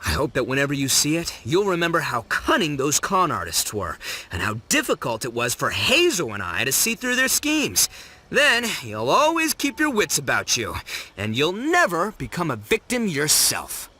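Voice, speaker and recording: Loud, swooping young gang boss. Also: male voice, on the high side, wildly swooping pitch, normal speed, heavily acted. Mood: upbeat, sincere. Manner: bombastic, slangy, exclamatory. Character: brash, dim but big-hearted young gang boss. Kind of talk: animated video game voice acting.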